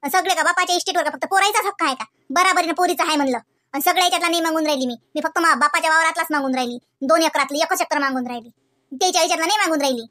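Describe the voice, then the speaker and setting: Lady doing stubborn cartoon village wife, varhadi marathi accent, super high sharp voice, argues nonstop, fast. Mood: demanding, defiant. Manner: insistent, repetitive arguing. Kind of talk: amateur comedy cartoon dialogue.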